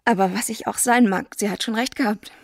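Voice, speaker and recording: Despairing young woman for a radio play, halting and brooding, gravelly creak, super dry sound. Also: mid-range voice, no echo. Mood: fragile, insistent. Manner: halting, brooding, self-loathing. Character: tormented, despairing young woman convinced she is cursed. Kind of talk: radio drama acting.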